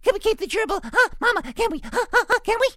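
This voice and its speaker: A storybook reader voicing an excitable, childish baby pig, squealing and repeating itself hyperactively. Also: very high-pitched, fast talker, dry recording, studio quality, voice pushed up, full character voice. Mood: excited, pleading.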